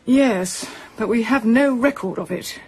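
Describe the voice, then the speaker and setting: Breathy, formal woman clerk. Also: a little high, a little slow, darkish tone. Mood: polite, factual. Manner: formal, precise. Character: polite, precise institute clerk, helpful and formal. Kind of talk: radio drama acting.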